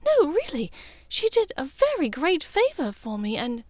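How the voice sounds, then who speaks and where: A woman's voice: sits high, wildly swooping pitch, normal speed, dry recording, voice pushed up. A woman playing an earnest, decisive young witch sweetheart, speaking emphatically. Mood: grateful.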